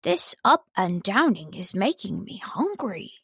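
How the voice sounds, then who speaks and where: A woman voicing a gentle, simple-minded, honey-loving male toy bear in a children's storybook. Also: medium pitch, very animated, unhurried, slightly rough, no echo, studio quality, heavily acted. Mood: mild dismay.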